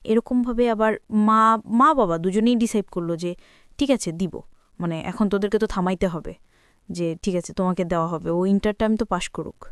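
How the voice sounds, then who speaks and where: A woman's voice: medium pitch, normal speed, clean tone, no echo, studio quality. A woman of twenty-three with a Bangladeshi Bengali accent, telling her personal life story as an interview guest.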